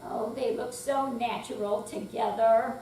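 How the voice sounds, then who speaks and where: A woman's voice: a little high, fairly even intonation, unhurried, warm tone. An older woman giving a scripted dramatic reading as a readers theater performer. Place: hall at a senior center. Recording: echoey room, distant mic, faint hum.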